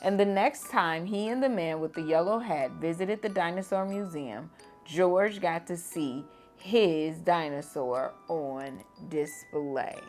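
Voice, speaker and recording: Woman teacher, slow bedtime read-aloud, low warm voice, faint music underneath. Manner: gentle, expressive storytelling.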